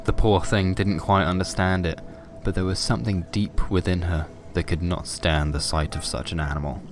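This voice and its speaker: Very low-pitched man narrating horror fiction. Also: steady tone, normal speed, noisy background. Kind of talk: scripted horror story narration.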